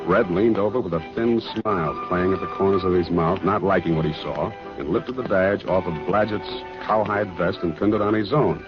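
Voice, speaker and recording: A wry, hard-boiled American private eye, played by a male actor in a 1940s radio drama. He is easygoing and deadpan, with an average-pitched voice. The old recording is dull and muffled, with music in it. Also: moderate pace, hardly any pauses, close to an everyday voice. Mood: wry narration. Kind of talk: 1940s radio detective drama acting and narration.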